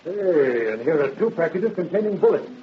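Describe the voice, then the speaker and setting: A man playing a brisk, businesslike police captain in 1930s radio style, with clipped, authoritative questioning on an old recording. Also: fairly high pitch, expressive, slightly rough, lo-fi sound. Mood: stern.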